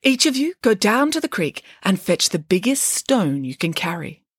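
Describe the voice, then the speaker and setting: A woman's voice: medium pitch, sing-song, moderate pace, slightly rough, no echo, studio quality. A woman voicing a glamorous, melodramatic mother pig, loving but firm, in a theatrical storybook manner. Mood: delighted, bossy. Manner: theatrical, dramatic.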